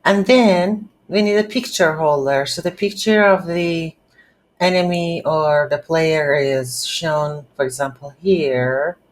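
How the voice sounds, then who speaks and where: A woman hosting a casual game development tutorial, speaking haltingly as she thinks aloud while working. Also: low voice. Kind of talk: casual screen-recorded software tutorial.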